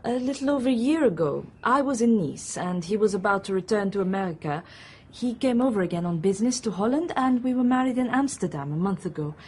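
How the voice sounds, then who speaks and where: An actress playing a reserved young married woman in a radio drama, speaking formally and evenly with a husky voice. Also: mid-range voice, expressive, darkish tone. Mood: cool, composed. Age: young adult. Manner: formal, measured.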